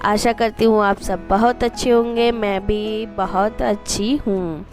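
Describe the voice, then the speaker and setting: Woman vlogger, hoarse from a cold, Madhya Pradesh Hindi accent, flat even delivery, music low underneath. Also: unhurried. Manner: chatty, homely, rambling asides to viewers. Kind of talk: casual voice-over narration of a daily routine.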